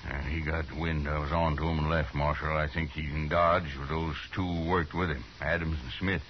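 An American actor playing a stubborn cattle rancher in a 1950s radio Western, with a clipped, firm, terse delivery. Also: male voice, rumbling low, little pitch movement, normal speed. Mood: grimly determined. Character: stubborn, stern cattle rancher, adult man. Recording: muffled, noisy 1950s radio recording.

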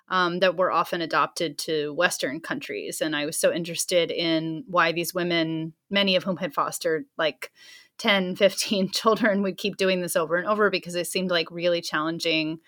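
A woman in her thirties, an anthropologist speaking as a guest in an interview, thoughtful and warm, with frequent soft laughs and fillers. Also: lowish voice. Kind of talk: interview answers.

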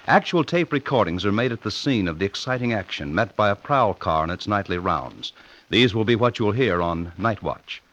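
A man's voice: on the low side, expressive, moderate pace, a bit bright. A man announcing in a formal, emphatic 1950s radio style, reading a scripted promo. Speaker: network announcer. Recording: muffled vintage radio broadcast.

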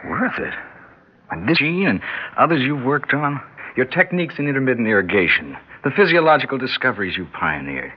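A man's voice: medium pitch, big pitch swings, fairly quick, husky. An actor playing an earnest, reassuring and authoritative male surgeon in an old-time radio drama. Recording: muffled vintage radio recording.

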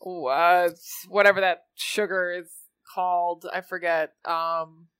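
Female podcast guest raised in Spain; animated, chatty storytelling. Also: fairly low pitch, takes it slowly, smooth. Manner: animated storyteller, frequent fillers. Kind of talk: casual conversational interview.

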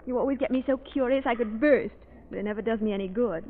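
Old-time radio leading lady woman acting: lively, curious companion, bright-spirited chatter in a high register, narrow sound. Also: quick pace, slight background noise, close to an everyday voice. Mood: chatty, playful. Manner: eager, chatty. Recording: thin old radio recording.

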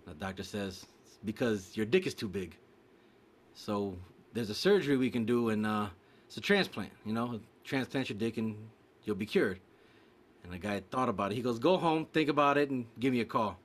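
A man's voice: lowish voice, normal speed. Man telling a stutter joke. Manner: animated storyteller mimicking a stutter.

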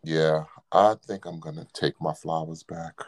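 A man's voice: a bass voice, fairly even intonation, a little slow. A man in a casual chat, playfully quizzing and needling the other speaker.

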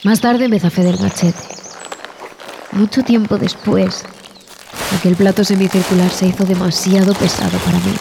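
A woman playing a traumatized expedition survivor in a horror audio drama, telling her story in a haunted, halting, dramatic way. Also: medium pitch, steady tone, background noise, lightly acted. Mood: exhausted, bleak. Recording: music bed behind the voice.

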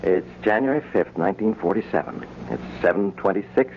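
Calm doctor, tinny old radio. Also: male voice, on the low side, a little fast. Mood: reassuring. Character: calm, authoritative male hospital doctor. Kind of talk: 1940s radio acting. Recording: tinny old radio recording, faint noise.

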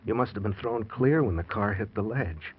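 An actor playing a scheming, guilty husband who feigns tender concern, in old-time radio drama style. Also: male voice, fairly low pitch, expressive, moderate pace, a little hiss or hum, close to an everyday voice. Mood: evasive concern. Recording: muffled vintage radio recording.

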